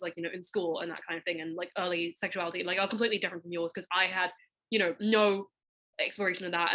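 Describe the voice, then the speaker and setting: A young woman from Hong Kong talks in a bright, sharp-edged voice, a little on the low side. She rambles through her thoughts and fills them with "like" and "you know" in a relaxed, chatty way. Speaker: podcast host. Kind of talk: casual conversation.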